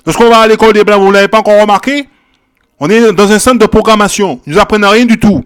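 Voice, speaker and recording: A man with a high-pitched voice preaches from the Bible, reading verses quietly and then driving his points home loudly. He repeats phrases for emphasis and keeps asking his listeners "ok?" and "hein?". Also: quick pace, smooth. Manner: shifts from quiet verse reading to loud exhortation. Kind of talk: Bible teaching sermon.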